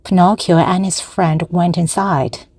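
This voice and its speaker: Woman narrator, very slow and deliberate, clear storybook reading, dry sound. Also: lowish voice, fairly even intonation, darkish tone, dry recording. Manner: slow, careful, evenly paced reading. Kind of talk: slow read-aloud for language learners.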